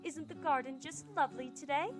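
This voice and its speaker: A woman's voice: high voice, fairly even intonation, fairly quick, close to an everyday voice. A woman playing a flirty, coy female pony in a cartoon, with a sugary, teasing, affected manner. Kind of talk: animated cartoon acting. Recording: music behind the voice.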